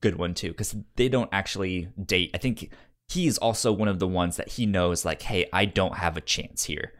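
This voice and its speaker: A man chatting casually as a podcast host, halting and often repeating the starts of his words. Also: low-pitched, fairly bright tone. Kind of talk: casual chat about comics.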